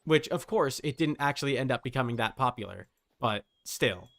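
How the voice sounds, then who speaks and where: A man's voice: mid-range voice, expressive, fairly quick, vocal fry, fairly bright tone. A man hosting a game livestream, talking casually and informally in a rambling way, thinking aloud as he goes.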